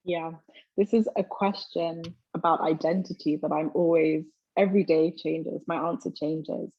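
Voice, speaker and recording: Low, flat-voiced young woman. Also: no echo. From London, England. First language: Twi. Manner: reflective, measured, with soft fillers. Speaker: guest. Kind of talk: thoughtful interview answers. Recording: slightly muffled video call audio.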